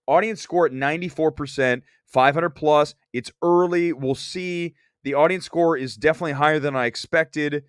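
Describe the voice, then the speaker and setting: A man's voice: fairly high pitch, normal speed, hardly any pauses, smooth, no echo, clean studio sound. Man hosting movie talk, slight cold. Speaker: movie talk show host. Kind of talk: opinionated film review reading viewer comments.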